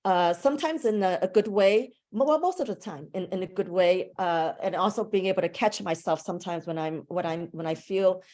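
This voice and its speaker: A woman language instructor, a native English speaker, leading a conversational online class discussion over a video call, thoughtful and a little halting. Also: fairly low pitch, a little mellow. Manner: conversational, thoughtful, with a few restarts.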